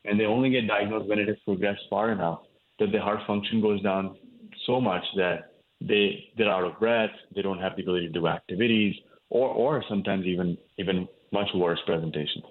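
Deep-voiced man from India on a phone line. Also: steady tone, a little fast. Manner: explanatory, with many fillers and restarts. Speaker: cardiologist guest. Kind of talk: interview answers.

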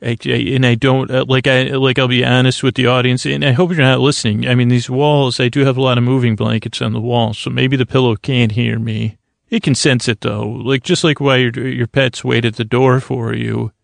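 Male podcast host, creaky soothing voice, meandering bedtime monologue, halting phrases, dry close sound. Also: mid-range voice, little pitch movement, no echo. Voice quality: creaky, lulling. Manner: meandering, halting, repeats himself.

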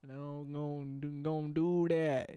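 A man chatting casually with friends, rambling and joking in a drawn-out put-on country voice. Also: fairly high pitch, little pitch movement, mellow, smooth, no room sound, studio quality. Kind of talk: casual chat, goofing in a put-on country drawl.

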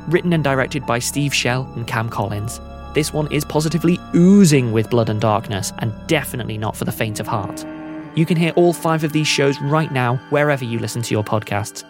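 A man delivering a scripted promotional announcement as a podcast network's announcer. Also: medium pitch. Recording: music bed behind the voice.

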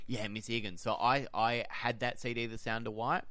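A man of about thirty with an Australian accent, a podcast guest chatting casually in a rambling, self-mocking way with quick laughs. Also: mid-range voice, fairly even intonation, sharp tone, no room sound. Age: 30s. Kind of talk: casual chat.

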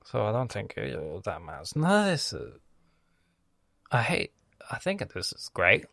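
A man's voice: on the low side, huge pitch swings, unhurried, creaky, bright, forceful tone, dry recording, studio quality. A man raised in Lebanon hosting his own podcast, talking in a loose, rambling monologue full of swearing and lost threads. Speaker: podcast host.

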